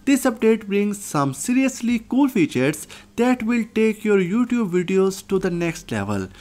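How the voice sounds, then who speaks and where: A person narrating a scripted software tutorial as its host. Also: mid-range voice, big pitch swings, a little slow. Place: voice-over, recorded off screen.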